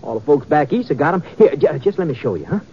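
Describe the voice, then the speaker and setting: Excitable hick hotel clerk, kinda high and stammery, muffled old western radio. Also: male voice, fast talker. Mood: proud, excited. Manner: rustic, excitable, chuckling and stammering. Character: eager, chatty small-town Old West hotel clerk. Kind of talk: 1950s radio western acting. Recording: muffled, noisy 1950s radio recording.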